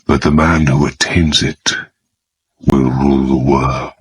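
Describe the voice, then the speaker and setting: A power-hungry villain of an audio drama, with a very deep, rough-edged voice. He speaks slowly and grandly, like a menacing philosopher laying out a creed. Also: flat delivery, exaggerated voice. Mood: coldly grandiose.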